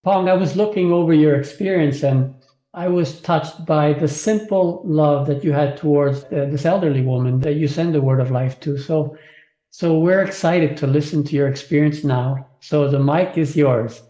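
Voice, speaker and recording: A man's voice: fairly high pitch, moderate pace, warm tone. A man hosting a podcast interview, talking with his guest. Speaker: podcast host. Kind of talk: interview conversation. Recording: echoey room.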